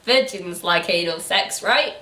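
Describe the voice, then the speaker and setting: A woman hosting a casual book review, chatting in an animated, incredulous and informal way. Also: on the low side, a little slow. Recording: roomy, echoey room sound.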